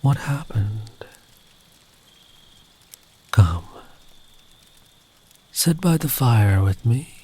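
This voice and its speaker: A man playing a big, kind traveller in the woods in an ASMR comfort roleplay, speaking gently and soothingly, often close to a whisper. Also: medium pitch, expressive, drawn-out words, long pauses, exaggerated voice. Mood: gentle, welcoming. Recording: clear background ambient noise.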